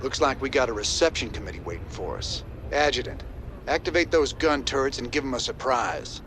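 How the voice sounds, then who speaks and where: An actor playing a tough, wry rebel commander in a game cutscene, talking in a laconic, folksy way. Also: male voice, medium pitch, animated, a little fast, a bit bright, soft background music, close to an everyday voice. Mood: guarded, tough. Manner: laconic, folksy, dry humor. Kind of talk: video game cutscene acting.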